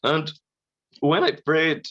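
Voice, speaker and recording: A man from Brazil, giving a halting, earnest personal faith testimony at a conference. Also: a little high, a bit bright, dry recording, muffled recording. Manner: slow, halting, earnest, with frequent "you know".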